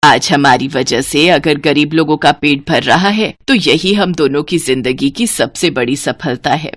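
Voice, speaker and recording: A woman doing a low-voiced, kindly old mother-in-law. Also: unhurried, no room sound. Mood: contented. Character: elderly kind widowed mother-in-law, consoling and gentle.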